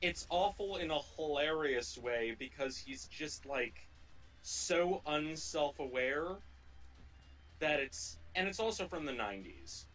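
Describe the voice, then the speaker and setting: A man co-hosting a gaming livestream, chatting casually in a rambling, halting way that often circles back and repeats phrases. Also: fairly high pitch, animated, a bit bright, soft background music, low-quality recording. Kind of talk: casual chat during gameplay.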